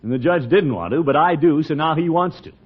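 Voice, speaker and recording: Muffled old radio, pompous middle-aged schemer, blustery, theatrical, animated pitch. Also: male voice, fairly quick, breathy edge. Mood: pleading. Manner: blustery, theatrical, with sly chuckles. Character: pompous, scheming middle-aged uncle, a town water commissioner. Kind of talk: 1940s radio comedy acting.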